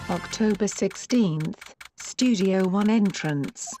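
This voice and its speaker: A woman's voice: medium pitch, takes it slowly, dark tone. Woman narrating game captions, faint music. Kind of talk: scripted narration.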